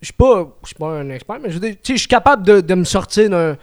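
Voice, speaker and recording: Young male podcast host, Quebec French, raised pitch, halting and filler-heavy. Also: lively intonation, a little mellow. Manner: hesitant, fills every pause with "tu sais". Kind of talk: casual chat.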